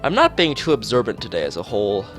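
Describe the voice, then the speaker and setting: A man's voice: on the low side, huge pitch swings, quick pace, music in the background. A man reading visual novel text aloud as a streamer, sometimes stumbling and rereading phrases.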